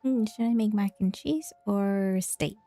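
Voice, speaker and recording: A woman reads game dialogue and comments casually as a streamer. Her voice is mid-pitched and quite mellow. Faint game music plays behind her. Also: measured pace, creaky, no room sound. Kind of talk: casual gameplay commentary and reading dialogue choices.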